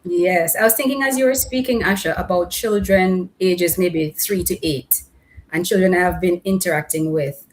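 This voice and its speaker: A woman's voice: lowish voice, normal speed, rough recording. A woman hosting an interview, affirming her guests often. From Trinidad. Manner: affirming, frequent mm-hmms. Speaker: host.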